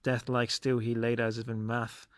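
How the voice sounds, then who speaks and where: A man hosting a reflective solo podcast, speaking in measured phrases with emphatic stresses. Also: mid-range voice, little pitch movement, slight background noise. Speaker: podcast host. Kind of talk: reflective solo podcast monologue with poem reading.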